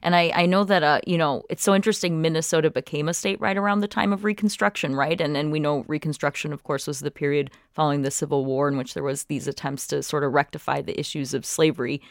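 Low-voiced woman hosting a radio interview. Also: steady tone, fast talker, hardly any pauses, no echo, studio quality. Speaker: radio show host.

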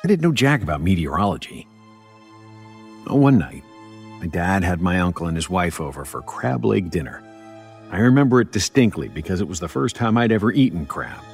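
Male actor as grown man, low animated voice, wry colloquial horror storytelling, uneasy childhood memory, music underneath. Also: fairly quick. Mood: uneasy, wry reminiscing. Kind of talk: first-person horror storytelling over music.

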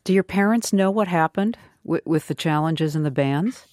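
A woman's voice: low voice, normal speed, a little mellow, dry recording, studio quality. A woman conducting a conversational interview as the interviewer.

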